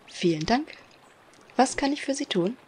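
A woman playing a gentle, helpful flower shop saleswoman in a parody detective audio drama. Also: on the high side, expressive, moderate pace, breathy edge. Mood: polite, helpful. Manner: polite, hesitant service talk.

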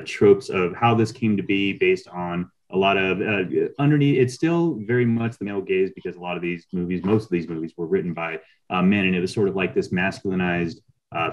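A middle-aged man speaking as an interview guest on a video call, explaining things in a measured, conversational way. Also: sits low, rough recording.

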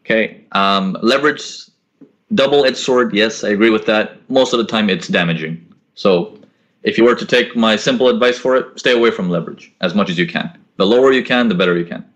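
A man's voice: lowish voice, fairly quick, a bit bright. A young man hosting a live trading webinar from home, answering chat questions in a casual coaching manner and often repeating his words. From grew up in Korea and the US. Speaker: forex trading webinar host. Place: small indoor room at home. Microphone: laptop webcam mic on a video call.

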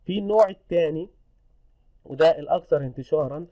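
A man's voice: fairly high pitch, a little fast, lo-fi sound. A man with an Egyptian Arabic accent giving an explanatory technical lecture, mixing in English terms, repeating points and checking that listeners follow. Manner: didactic, repeats points and checks understanding with tag questions. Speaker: engineering instructor.

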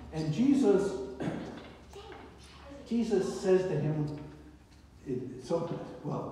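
A man's voice: fairly high pitch, dark tone. An American man in his seventies giving an informal, digressive sermon in a conversational way, often checking in with "right?". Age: 70s. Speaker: preacher. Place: small church hall indoors. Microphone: far camera or phone. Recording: echoey hall, distant camera microphone.

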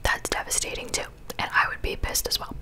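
A woman's voice: brisk, pushed and bright. A young woman in her twenties whispering casual gossip chat for an ASMR video, close to a studio microphone in a small bedroom. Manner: chatty, conversational whisper. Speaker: ASMR creator. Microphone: large studio mic close to the mouth.

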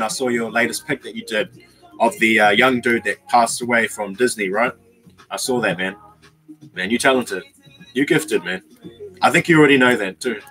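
A young man in a small bedroom, casually chatting with his viewers on a livestream and reading out their comments, slangy and relaxed, calling people bro and man. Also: mid-range voice, rough recording. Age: young adult. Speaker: YouTuber reading live chat.

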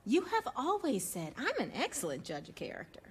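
An actress with an American accent playing a cocky, excitable young street racer in a video game, brash and slangy. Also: female voice, high voice, fairly quick, slightly rough, exaggerated voice. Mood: confident, excited. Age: young adult.

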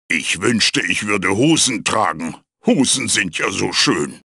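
A man playing a wise, fatherly magical wooden mask in a video game, with a theatrical, instructive manner, dry humor and a gravelly, raspy voice. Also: fairly low pitch, sharp tone, dry recording, exaggerated voice. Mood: wistful, wry. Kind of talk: video game character voice acting, tutorial guidance.

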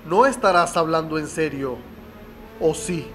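A man's voice: a little high, a little slow, a bit bright, soft background music. A man playing a proud, stern middle-aged magnate in a melodramatic radio soap. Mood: defiant, exasperated. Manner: indignant, melodramatic. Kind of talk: radio soap opera acting.

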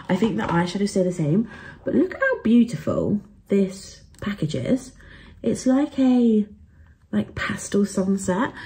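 A young woman talks through her shopping in a relaxed, chatty vlog. Her voice is mellow and soft-edged, sitting at an ordinary middle pitch, and she fills her sentences with easy fillers. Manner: chatty, upbeat, lots of fillers. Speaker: travel and beauty vlogger. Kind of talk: casual haul chat to camera.

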